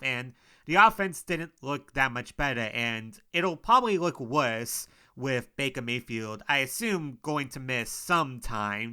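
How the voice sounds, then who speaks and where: A man's voice: fairly high pitch, sharp tone, clean tone. A young man with a cold, sounding sniffly, talking casually and frustratedly about his struggling football team in a rambling solo monologue. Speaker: sports podcaster.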